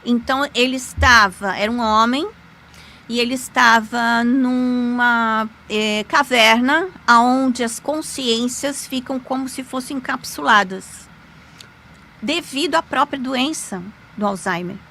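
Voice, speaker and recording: A woman, a podcast guest, telling a personal story in an animated, emphatic way with sudden pitch jumps. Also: slow pace. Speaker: podcast guest, lawyer and magic teacher. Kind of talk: conversational storytelling.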